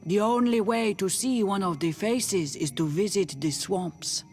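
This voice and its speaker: Stern, higher-pitched native elder. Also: male voice, a little slow, darkish tone, soft background music. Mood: stern, solemn. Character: stern, guarded male native island elder, disdainful of outsiders.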